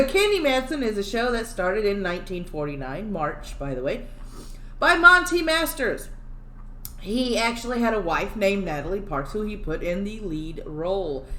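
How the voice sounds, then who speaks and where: A woman's voice: mid-range voice, a bit bright. A woman hosting a livestream on her own, chatting casually and joking about her own technical glitches. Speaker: livestream host. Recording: echoey room with faint background hum.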